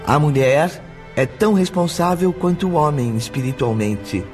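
An actor playing a solemn narrator in a radio drama, emphatic in delivery. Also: male voice, fairly high pitch, expressive, moderate pace, music in the background, lightly acted. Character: solemn male narrator declaring a moral lesson.

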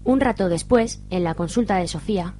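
A woman's voice: mid-range voice, fairly quick, warm tone, a little hiss or hum. A woman with an accent from Spain reading scripted narration for a radio soap.

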